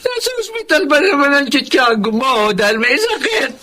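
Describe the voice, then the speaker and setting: A woman's voice: high voice, big pitch swings, normal speed, over loud music. A woman playing a worried, exasperated elderly grandmother in a radio-style drama, with a Filipino accent. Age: older.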